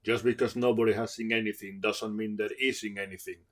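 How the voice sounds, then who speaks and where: Gruff young wasteland survivor read by a man: low, steady, tired and cautious, for an adventure story. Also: fairly quick, lightly acted. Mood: firm. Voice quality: lightly gruff. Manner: firm, matter-of-fact.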